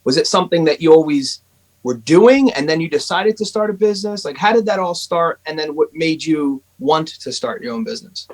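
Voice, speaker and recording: A man's voice: on the high side, expressive, fairly quick. A young man hosting a podcast, reading an upbeat intro and asking the guest questions. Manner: upbeat, hyping, says "I love it". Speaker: podcast host.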